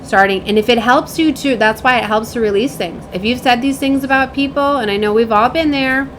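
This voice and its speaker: A woman's voice: a little high, a little fast, slight background noise, rough recording. A woman in her late thirties giving a casual, rambling spiritual reading as a tarot reader, with an emphatic manner.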